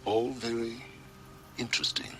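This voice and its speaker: A man's voice: medium pitch, steady tone, a little slow, very noisy, lightly acted. A man playing an aloof, secretive, menacing scholar in a video game, speaking with cold, condescending precision. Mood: aloof, intrigued. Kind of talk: video game cutscene dialogue.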